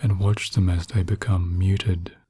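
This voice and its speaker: A man narrating guided sleep hypnosis in a soothing, hushed manner with long pauses. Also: low-pitched, level tone, husky, no echo, studio quality.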